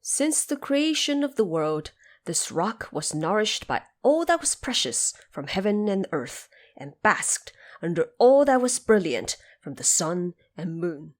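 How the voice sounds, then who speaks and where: A woman with a slightly low voice narrates a scripted story for an audio drama. Her phrasing is measured and expository, laid out like a storyteller explaining an old myth. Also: big pitch swings.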